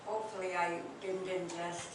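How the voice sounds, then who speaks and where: An older woman telling family memories to a small group in a hesitant, reminiscing way, with many pauses and fillers. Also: lowish voice, flat delivery. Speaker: speaker recalling family memories. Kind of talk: reminiscing oral history talk. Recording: echoey room, distant mic, noisy.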